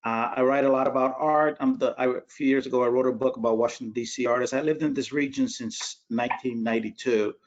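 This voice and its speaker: A man's voice: mid-range voice, steady tone, quick pace, few pauses. A Cuban-born man in his sixties, an art critic, chatting at length in a casual online talk. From Cuba. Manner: talkative, chatty. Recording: dull lo-fi audio.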